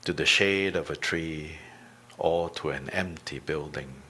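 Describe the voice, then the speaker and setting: A man teaching a guided meditation, speaking deliberately in short phrases with long pauses between them. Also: extremely low pitch, pushed and bright, a little hiss or hum.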